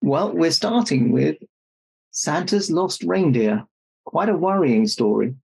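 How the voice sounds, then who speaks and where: A man's voice: on the high side, lively intonation, takes it slowly, creaky, darkish tone, breathy edge, full character voice, rough recording. A man playing Santa Claus, a jolly old man reading a children's storybook aloud with jokey, mock-indignant asides. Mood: playful.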